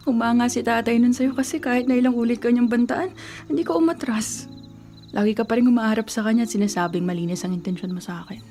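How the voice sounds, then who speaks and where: A woman playing a grieving young college student and devoted girlfriend in a Filipino radio drama, speaking softly and emotionally, at times in whispers. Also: on the high side, fast talker, soft background music, lightly acted. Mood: wistful. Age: young adult. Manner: soft, emotional, breaking into whispers.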